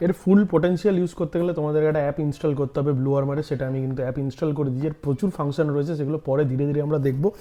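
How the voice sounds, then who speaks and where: A man's voice: a little high, fairly even intonation, racing, hardly any pauses, warm tone. A man giving a casual product review as a vlogger, explaining things straight to viewers and mixing in English words. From India. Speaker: vlogger, host.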